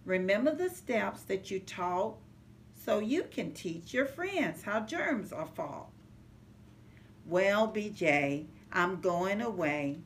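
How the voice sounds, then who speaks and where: Lively, slow-paced woman reading to children. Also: mid-range voice. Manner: sing-song, measured rhyming delivery. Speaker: early childhood center manager reading to children. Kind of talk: animated children's picture-book read-aloud. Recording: noticeable background noise, home recording.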